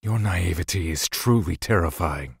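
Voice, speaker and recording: An actor playing a stern, dutiful god of death in a fantasy audio drama, speaking in a stiff, curt, commanding manner. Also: male voice, deep voice, normal speed, gravelly, slightly rough, dry recording, clean studio sound, full character voice. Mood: stern, grave.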